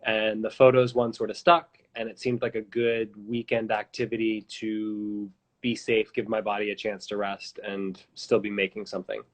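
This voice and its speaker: A man in his thirties from the United States, a filmmaker guest, answering interview questions in a relaxed, matter-of-fact way with ums, heard over a phone on a video call. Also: on the low side, normal speed.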